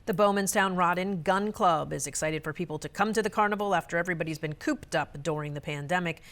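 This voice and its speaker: A woman's voice: fairly low pitch, fast talker, fairly bright tone. A woman reporter reading scripted narration for local news stories.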